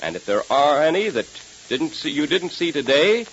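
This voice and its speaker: High, animated vintage radio announcer man. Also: moderate pace, slightly rough. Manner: emphatic, promotional delivery. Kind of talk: 1930s radio announcing and sponsor pitch. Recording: muffled, hissy 1930s radio recording.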